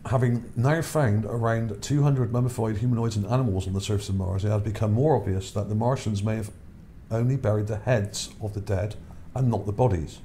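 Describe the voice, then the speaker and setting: Male host, low mellow voice going hoarse, rambling delivery, faint hiss behind. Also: fairly even intonation, brisk, hardly any pauses. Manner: rambling, repeats words, checks in with "okay?". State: voice starting to go. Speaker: channel host. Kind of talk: casual narration over images, reading his article.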